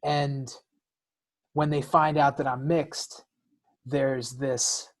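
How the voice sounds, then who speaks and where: Male podcast co-host, mid pitch, casual and reflective, halting delivery with repeated words. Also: level tone. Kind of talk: casual personal conversation.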